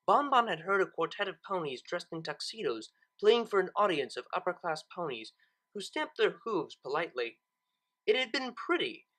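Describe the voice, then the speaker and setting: Woman narrator, lowish pitch, very varied intonation, expressive fiction reading.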